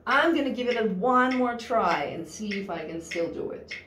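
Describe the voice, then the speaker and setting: A woman's voice: medium pitch, lively intonation, moderate pace, a bit bright, a little hiss or hum. A woman in her thirties teaching piano in a casual, chatty way, thinking aloud with fillers. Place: studio room with a grand piano. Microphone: distant camera mic. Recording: roomy, distant mic with piano.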